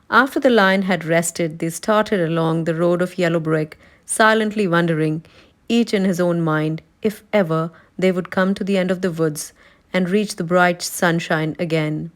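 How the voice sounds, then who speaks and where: A woman narrating a children's novel as a read-aloud storyteller. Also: fairly low pitch. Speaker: storybook reader.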